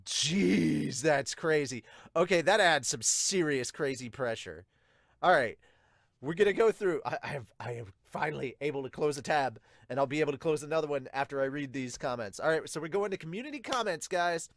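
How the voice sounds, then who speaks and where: A man hosting a podcast, reading aloud and reacting casually with excitable exclamations. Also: fairly high pitch, normal speed, vocal fry, dry recording, clean studio sound. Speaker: podcast host and reviewer. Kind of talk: manga chapter dub reading and casual reaction commentary.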